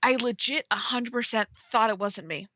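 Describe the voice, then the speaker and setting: Young woman streamer chatting w a friend, giggly n teasing, bright voice, kinda dull dry recording. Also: a little high, normal speed, no echo. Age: young adult. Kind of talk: casual livestream banter with a friend.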